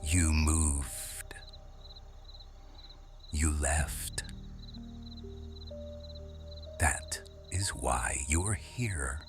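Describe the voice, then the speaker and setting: A man playing a quiet, watchful serpent shifter guardian in an intimate ASMR roleplay, hushed and deliberate, often slipping into whispers. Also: extremely low pitch, over music, exaggerated voice. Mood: hushed, brooding.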